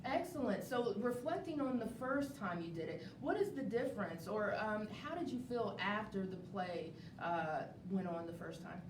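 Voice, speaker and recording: A woman's voice: lively intonation, normal speed, few pauses. A woman enthusiastically hosting a live interview show, upbeat and encouraging, repeating phrases for emphasis. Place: indoor venue with a live studio audience. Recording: roomy, distant mic with clear rumbling noise.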